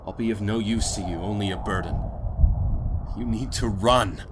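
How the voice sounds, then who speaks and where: A man playing a grave, tender, protective father in a post-apocalyptic audio drama, speaking deliberately and wearily. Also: lowish voice, background noise, natural voice. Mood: urgent, commanding. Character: weary, protective father in a ruined post-war world.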